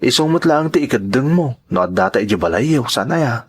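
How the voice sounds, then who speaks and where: A man's voice: medium pitch, lively intonation, a little fast, slightly rough. Male actor as earnest romantic suitor for an audio drama. Mid-range voice, Ilocano Filipino accent. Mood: pleading, flustered.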